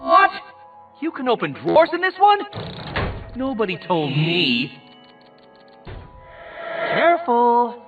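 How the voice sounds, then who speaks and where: An actor playing a smug, boastful mastermind villain in a video game, with pompous, theatrical, mocking taunts. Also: male voice, high-pitched, full character voice. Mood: petulant, mocking. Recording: tinny narrow-band audio, distant, music behind. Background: music.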